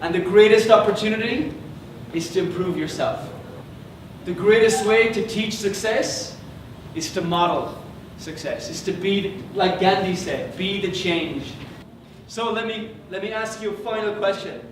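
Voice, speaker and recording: High-pitched man giving a motivational talk. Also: noisy background. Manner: emphatic, earnest, deliberate repetition. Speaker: motivational workshop speaker. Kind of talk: motivational speech. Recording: music behind the voice.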